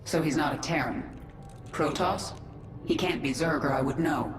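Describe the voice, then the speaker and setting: A woman's voice: low-pitched, normal speed, natural voice. A woman playing a vengeful, commanding queen of an alien swarm in a video game, imperious and decisive. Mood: brisk. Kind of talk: video game cinematic acting. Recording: echo effect, loud music behind.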